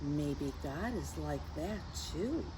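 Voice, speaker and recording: Female children's storyteller, slightly low voice, unhurried teacherly delivery, animated intonation, clear background noise on the recording. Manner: gentle teacherly, addressing young children with questions and asides. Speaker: children's story time host and reader. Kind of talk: picture book read-aloud for children.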